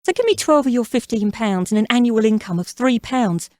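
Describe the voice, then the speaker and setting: A woman's voice: fairly high pitch, animated, a little fast, a little mellow, no echo, clean studio sound, close to an everyday voice. Woman acting a flirtatious, scheming mother-in-law. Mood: persuasive, assured. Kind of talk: audio drama character acting.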